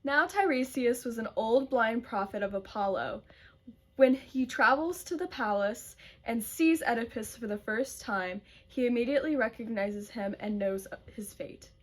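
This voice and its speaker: A woman's voice: on the high side, moderate pace. A woman giving scripted storytelling narration for an amateur puppet show.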